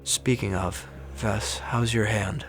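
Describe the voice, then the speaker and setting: An actor playing a grave, calm explorer who tends the wounded in a radio drama, measured and matter-of-fact. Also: mid-range voice. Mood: grave concern. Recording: music bed under the voice.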